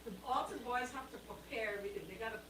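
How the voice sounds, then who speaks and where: A woman's voice: a little high, rapid-fire, pushed and bright, a little hiss or hum. A woman in casual chat, explaining things in an insistent, colloquial way.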